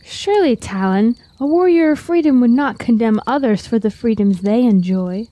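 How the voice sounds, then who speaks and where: An actress playing a sly, gently teasing young village woman with formal phrasing in a fantasy radio drama. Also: a little high, lively intonation, normal speed, natural voice. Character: sweet-voiced, teasing young village maiden with sly wit.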